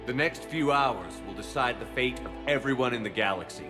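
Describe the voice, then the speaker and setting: A man's voice: medium pitch, brisk, over music, natural voice. A man playing a steadfast, sober military commander in a video game cutscene. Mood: solemn, inspiring. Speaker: squad commander.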